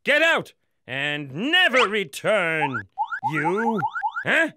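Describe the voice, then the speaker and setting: A performer voicing a stern, scornful palace guard man in an animated storybook, making slow threats. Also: mid-range voice, very noisy. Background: music.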